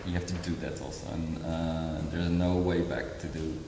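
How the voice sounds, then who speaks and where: A man in his forties, a choreographer from Indonesia, talking about his work in a hesitant, halting way full of fillers and restarts. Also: extremely low pitch, little pitch movement, noisy background. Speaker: choreographer and dancer. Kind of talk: artist talk. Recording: very echoey hall.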